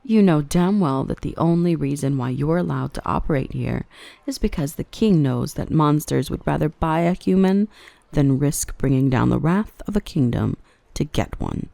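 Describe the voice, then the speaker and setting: A woman playing a dominant yet protective dark elf mistress in an ASMR roleplay, by turns cutting and tender. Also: low voice, animated, moderate pace, creaky, dry recording. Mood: stern, menacing. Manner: stern and cutting to traders, tender and coaxing to the human. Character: dominant female dark elf, protective, gentle with her rescued human.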